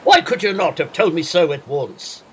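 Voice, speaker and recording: A man's voice: a little high, very animated, moderate pace. Blustering, hearty squire played by a man, noisy ambience. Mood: jovial. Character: bold hard-drinking old squire, scoffing and hearty. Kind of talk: audio drama acting.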